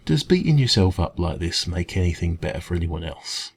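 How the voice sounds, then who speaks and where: A man with an English accent playing a kindly, gentle café dragon in an ASMR comfort roleplay, speaking in a hesitant, informal, reassuring way. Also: low-pitched, big pitch swings, brisk, vocal fry, a little hiss or hum, full character voice. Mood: earnest reassurance.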